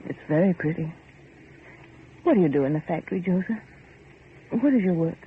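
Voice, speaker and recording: An actor playing an idealistic, insistent factory heir in an old radio horror drama, with an earnest, pleading and commanding manner. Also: male voice, high-pitched, animated, moderate pace. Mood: earnest coaxing. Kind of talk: 1940s radio horror acting. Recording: muffled old radio recording, faint hiss.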